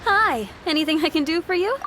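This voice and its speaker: A woman's voice: extremely high pitch, animated, a little fast, a bit bright, slight background noise. An actress playing a polite, hesitant shopkeeper woman in a video game. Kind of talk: video game character acting.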